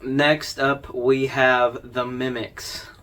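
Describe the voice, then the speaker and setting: Male video host, mid-pitched voice, informal commentary, conversational, dryly wry explainer delivery. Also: level tone, drawn-out words, a bit bright, faint music behind.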